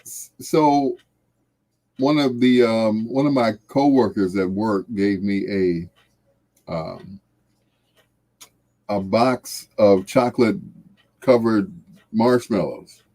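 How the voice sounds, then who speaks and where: A man's voice: on the low side, mellow. A middle-aged or older American man chatting casually and jovially with viewers on a livestream, with a folksy way of drawing out and repeating phrases. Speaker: livestream host.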